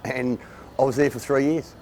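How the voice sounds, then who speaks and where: A man's voice: medium pitch, steady tone, normal speed, darkish tone, slight background noise. A man with an Australian accent, speaking plainly and hesitantly in a documentary interview.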